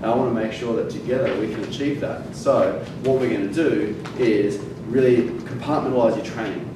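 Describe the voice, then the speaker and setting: A man's voice: mid-range voice, brisk. Man lecturing in an echoey room. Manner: emphatic, stresses words for effect, frequent fillers. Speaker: fitness educator. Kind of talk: classroom lecture. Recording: very echoey room from far off, noisy.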